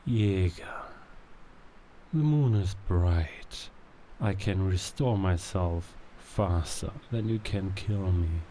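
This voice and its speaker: A man playing a calm, commanding werewolf king with a measured delivery in an audio drama. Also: low voice, slight background noise. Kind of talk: cinematic audio drama acting.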